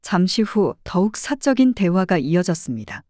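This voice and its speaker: A woman narrates a dramatized true story as a private detective. Her voice is mellow and warm, with lively pitch movement. The recording is dry and close. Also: moderate pace, no room sound. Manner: expressive, storytelling.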